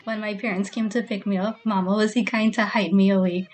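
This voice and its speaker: A woman's voice: mid-range voice, steady tone, a little fast, a little mellow. A woman speaking in a personal farewell tribute as a grandchild remembering a grandmother.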